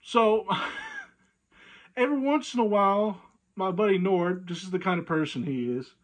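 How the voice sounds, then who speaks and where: A man casually telling a humorous anecdote, animated and amused by his own tale. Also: high-pitched, measured pace. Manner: animated, acts out dialogue, chuckles at own story. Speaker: storyteller.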